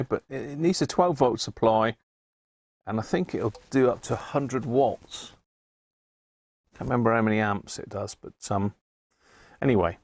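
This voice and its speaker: Gravelly-voiced Englishman chatting casually. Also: lowish voice. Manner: rambling, chatty, thinking aloud. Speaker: host of a hobby electronics live stream. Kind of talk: casual live-stream chat while working at a bench.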